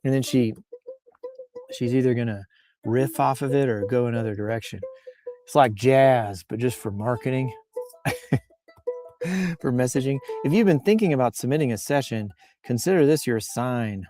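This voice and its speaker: A man with a mellow, relaxed voice riffs casually as he reads aloud, with faint music behind him. His delivery is playful and loose, wandering into off-the-cuff comic asides. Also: mid-range voice, moderate pace, pauses often. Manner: playful, rambling, breaks off into asides and chuckles. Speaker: host reading and riffing on social media posts. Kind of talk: casual, improvised comic commentary.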